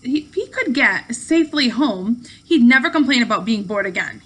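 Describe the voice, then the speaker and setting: Female read-aloud narrator: lively, swooping delivery, bright tone, small unpolished stumbles. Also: a little high, low-quality recording. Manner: animated, with occasional stumbles and rereads. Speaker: teacher reading aloud to pupils. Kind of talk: expressive storybook narration.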